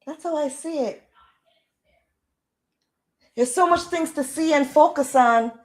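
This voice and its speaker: Jamaican woman, loud projecting voice, livestream host, slow drawn-out delivery, higher voice, animated rhetorical questions. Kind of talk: casual livestream chat.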